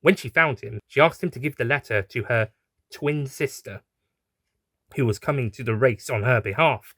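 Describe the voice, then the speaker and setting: A man's voice: medium pitch, normal speed, a bit bright, no echo, studio quality. A man reading a scripted adventure story as its narrator.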